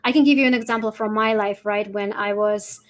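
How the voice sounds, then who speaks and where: A woman's voice: medium pitch, steady tone, fairly quick. A woman with a Russian accent explaining things conversationally as an interview guest, often checking in with "right?". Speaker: guest, holistic fertility coach.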